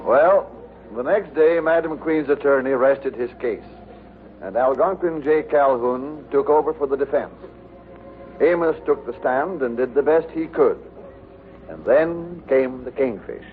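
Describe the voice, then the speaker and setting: An actor playing the male storyteller of an old radio sitcom, narrating in a measured, scripted way. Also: medium pitch, lively intonation, close to an everyday voice. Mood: storytelling. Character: male storyteller recounting a comic trial. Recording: tinny old radio, faint noise.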